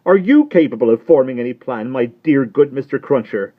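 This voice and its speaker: A man voicing a frantic, tearful middle-aged English spinster in a high, shrill, fluttering voice that sounds near tears. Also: lively intonation, brisk, phone-quality sound, natural voice. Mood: distraught.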